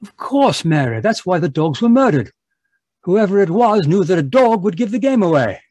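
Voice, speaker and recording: An actor playing an excitable, puzzled gentleman sidekick in a radio mystery, speaking emphatically. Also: high-pitched, moderate pace. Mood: puzzled, excited. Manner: emphatic, exclamatory. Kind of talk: old-time radio mystery acting.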